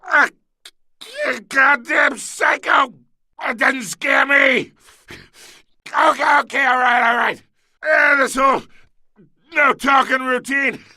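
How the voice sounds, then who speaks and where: An actor playing an older, blustering crime boss in a video game, coarse and swaggering, with a gravelly voice. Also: male voice, extremely high pitch, steady tone, slow pace, long pauses, pushed and bright, no echo, raised voice, studio quality, full character voice. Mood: defiant, strained.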